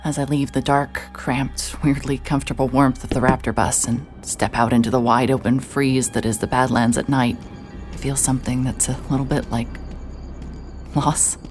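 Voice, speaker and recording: An actress playing a hard-hearted private investigator who stammers and restarts when anxious, also narrating in first person. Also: female voice, extremely low pitch, fairly even intonation, moderate pace, darkish tone, faint music behind. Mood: reflective. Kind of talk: audio drama acting and first-person narration.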